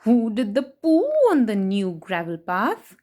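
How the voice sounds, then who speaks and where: A woman doing an expressive children's picture book read-aloud, playful in her emphasis, with chatty asides to her young listeners. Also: medium pitch, a little slow. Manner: animated, sing-song rhyme reading with playful emphasis. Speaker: children's story reader and host.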